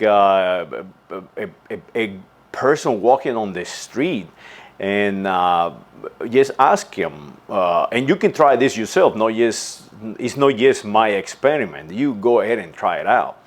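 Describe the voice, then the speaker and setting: A man's voice: fairly low pitch, very animated. A man delivering an earnest, insistent monologue as a channel host, often stumbling and repeating words before going on. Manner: halting, with frequent restarts and repeated words. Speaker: channel host giving a commentary. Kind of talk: unscripted spoken monologue.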